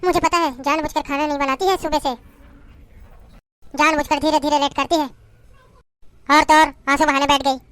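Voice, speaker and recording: A woman's voice: high voice, steady tone, moderate pace, pauses often, fairly bright tone, no room sound. A woman playing a harsh middle-aged mother-in-law who berates and taunts her daughter-in-law in a family drama. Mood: bitter.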